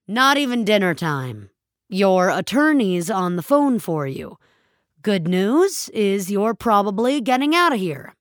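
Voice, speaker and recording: A woman voicing a gruff, matter-of-fact male jail officer with a heavy voice, in an audio fiction story. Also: medium pitch, big pitch swings, a little slow, no echo, clean studio sound.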